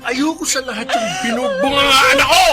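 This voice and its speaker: Harsh, high-strung bullying husband. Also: male voice, wildly swooping pitch, a little fast, over loud music, heavily acted. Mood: raging. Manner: aggressive, barking. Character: abusive hot-tempered husband and father, harsh and bullying. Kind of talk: Filipino radio drama acting.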